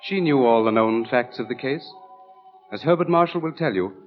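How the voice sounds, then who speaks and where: A man's voice: medium pitch, animated, normal speed. Male announcer, dramatic scripted narration, sweeping intonation, portentous, muffled old radio recording, faint music.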